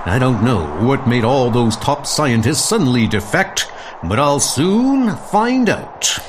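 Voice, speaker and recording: A man's voice: medium pitch, sing-song, slow, noisy background, voice pushed up. A man voicing a confident, determined thunder god hero in a comic audio drama.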